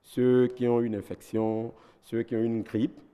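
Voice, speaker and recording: A man's voice: medium pitch, level tone, unhurried, mellow, smooth, in a large hall. A man with a Beninese French accent, a doctor giving health advice on a TV talk show, methodical and didactic, listing his points one by one. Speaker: ENT doctor guest.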